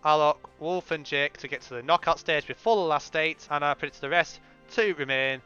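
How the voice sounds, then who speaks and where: A man's voice: fairly high pitch, a little fast, sharp tone, clear, resonant tone. A man giving casual spoken predictions as a video creator, hedging and restarting, his voice worn from recent illness. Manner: chatty, hesitant restarts, hedging opinions. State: recovering from illness, voice going. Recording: slightly dull lo-fi sound, faint music.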